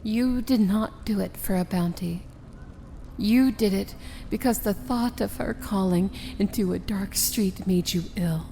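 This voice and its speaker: A woman playing a proud, wry yet tender princess of evil in an intimate ASMR fantasy roleplay, with a theatrical, hushed delivery. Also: mid-range voice, creaky, a little hiss or hum. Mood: tender, knowing. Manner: theatrical, deliberate, often dropping to a hushed whisper.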